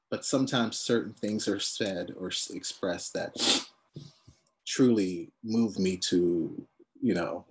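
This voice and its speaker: Man, low voice, very slow, halting, reflective panel talk. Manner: slow, halting, many restarts and fillers.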